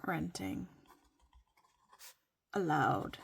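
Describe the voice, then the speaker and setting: A woman from Norway, whose first language is Norwegian, chatting casually in a playful, rambling way that sometimes drops to a soft whisper while she writes in her journal. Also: low-pitched, very animated, very slow, gravelly, husky, dry recording. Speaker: vlogger.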